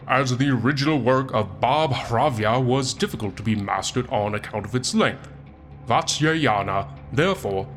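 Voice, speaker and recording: A man voicing a sardonic, foul-mouthed Satan who reads an old book aloud in a theatrical, deliberate way with wry asides, as comic narration. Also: medium pitch, natural voice. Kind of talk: comic audiobook-style narration.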